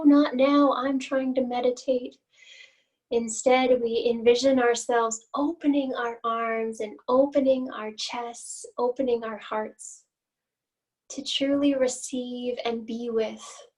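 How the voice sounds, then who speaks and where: Female meditation guide, higher-pitched and very unhurried, gently inviting, heard a little way off the mic. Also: steady tone. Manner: gentle invitational phrasing with long pauses. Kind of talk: guided meditation, slow and instructional. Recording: voice distant from the mic.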